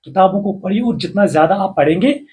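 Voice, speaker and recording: Older Kashmiri man, formal and measured. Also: high-pitched, lively intonation, darkish tone. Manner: formal, measured, slow recitation with rising emphasis. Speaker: poet and writer, interview guest. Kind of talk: reciting verse, then earnest advice.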